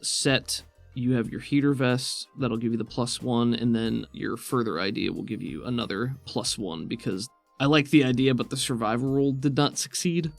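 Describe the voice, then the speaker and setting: A man's voice: mid-range voice, moderate pace, vocal fry, few pauses, faint music behind. A man speaking as game master, explaining rules and narrating in an easygoing, conversational way. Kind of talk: running a tabletop roleplaying game, explaining rules.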